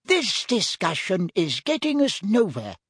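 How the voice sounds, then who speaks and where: An officious, indignant male official, put on by a man reading a story. His stern, formal speech is pitched high and swings up and down, with a husky edge. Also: expressive, measured pace, no echo, studio quality, heavily acted. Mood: firm, indignant.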